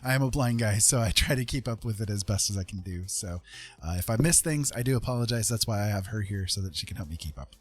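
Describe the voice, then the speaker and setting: A man with a husky voice hosting a casual livestream, rambling along in folksy, welcoming patter as he chats. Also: lowish voice, animated, fast. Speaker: livestream host and artist. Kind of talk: casual chat while streaming digital art.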